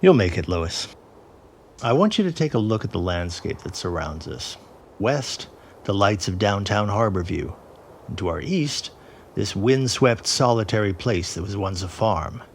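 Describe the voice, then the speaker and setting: An actor playing a grave, brooding man in a period audio drama, speaking in a deliberate, portentous way. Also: lowish voice, lively intonation, a little hiss or hum. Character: grave, brooding man surveying a haunted landscape.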